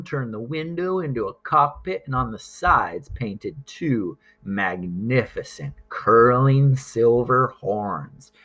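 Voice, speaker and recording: A man reading a children's story aloud as narrator, with expressive, deliberate phrasing. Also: mid-range voice. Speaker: storybook reader.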